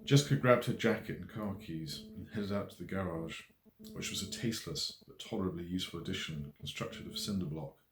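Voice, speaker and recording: A man with a deep voice narrates a story in a measured, expressive literary style. The recording is roomy, with audible echo around the words. He reads scripted prose as an audiobook narrator. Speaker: author reading own novel aloud.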